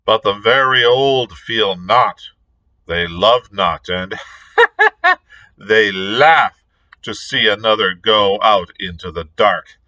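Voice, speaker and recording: A man voicing an ancient, cruel female witch, with a shrill falsetto and cackling laughter. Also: takes it slowly, pushed and bright, no room sound. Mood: shrieking, cackling. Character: ancient shrieking hag witch, cruel and defiant.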